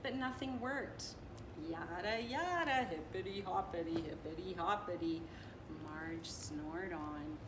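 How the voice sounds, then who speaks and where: A woman reading a children's picture book aloud in an expressive, playful, dramatic way, with little asides. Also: lowish voice, slow pace. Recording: roomy echo with clear background noise.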